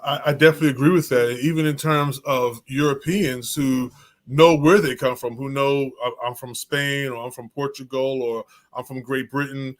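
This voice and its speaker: A man talking as a guest genealogist, measured and a little hesitant, with many fillers. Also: medium pitch, fairly even intonation. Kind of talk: casual conversation.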